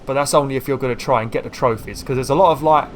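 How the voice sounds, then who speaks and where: A man with a croaky voice, giving rambling casual commentary while playing a video game. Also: mid-range voice, rapid-fire, a little hiss or hum. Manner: rambling, chatty, self-deprecating. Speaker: gaming YouTuber.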